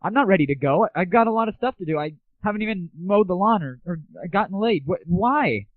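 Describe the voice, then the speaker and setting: A man's voice: high voice, animated, a little fast. A man hosting an internet comedy radio show, talking casually in crude slang. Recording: lo-fi, phone-like narrowband audio.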